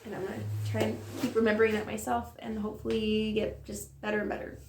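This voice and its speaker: Creaky-voiced woman vlogging in an echoey room. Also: medium pitch, monotone, fast, darkish tone, distant mic, a little hiss or hum. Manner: rambling and earnest, many fillers. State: voice strained from a sore throat. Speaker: mother and diet vlogger. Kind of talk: candid personal vlog monologue. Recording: roomy echo, voice set back from mic.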